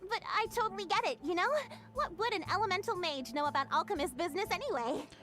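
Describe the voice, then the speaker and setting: A woman voicing a perky, catty young alchemist in a visual novel, sweet on the surface and quietly cutting. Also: very high-pitched, fast talker. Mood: cheery catty. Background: game music.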